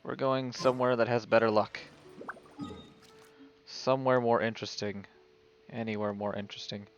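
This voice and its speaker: A man's voice: mid-range voice, level tone, normal speed, lo-fi sound, slight background noise. A man streaming a video game, giving casual live commentary and thinking aloud as if talking to himself. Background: video game music.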